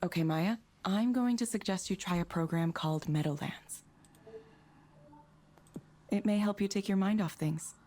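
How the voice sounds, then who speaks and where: Actress as a composed, mellow-voiced woman counsellor. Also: mid-range voice, lightly acted. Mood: calm, procedural. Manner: measured, even, professional. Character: composed, neutral woman counsellor reading a script. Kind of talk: visual novel voice acting.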